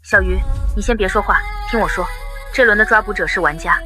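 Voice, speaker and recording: Casting: actress as protective cartoon big sister, firm and urgent, forceful bright tone, quick pace, dry sound. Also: female voice, mid-range voice, faint music behind, natural voice, rough recording. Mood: urgent warning. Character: urgent, protective older-sister figure giving warnings. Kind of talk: animated drama dubbing.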